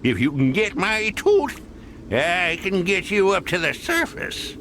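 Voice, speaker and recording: A man playing a cranky, possessive old gold prospector in a cartoon game, with a gravelly Old West drawl. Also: sits high, huge pitch swings, measured pace, sharp tone, slight background noise, full character voice. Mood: grudging. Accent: American Old West. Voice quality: creaky, gravelly. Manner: grumpy, drawling. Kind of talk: animated video game voice acting.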